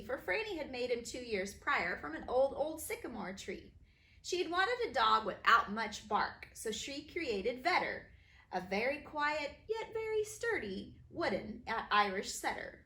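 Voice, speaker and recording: A woman teacher reading children's stories aloud in an expressive, sing-song way for young listeners. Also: sits high. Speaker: kindergarten teacher reading her own stories. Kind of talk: animated children's storybook reading. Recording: roomy echo, faint background noise.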